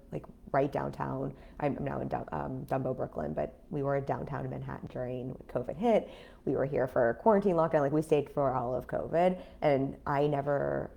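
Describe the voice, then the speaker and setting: A woman in her thirties talking casually on a livestream as a business coach, her voice raspy after an illness, chatty with many fillers. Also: sits low, dark tone. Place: home in a city apartment.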